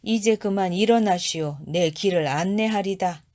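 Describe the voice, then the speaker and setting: A woman's voice: mid-range voice, moderate pace, a little mellow, lightly acted. A woman voicing a stern, expressionless male grim reaper in a storybook tale, speaking curtly and firmly. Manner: curt, firm and unmoved.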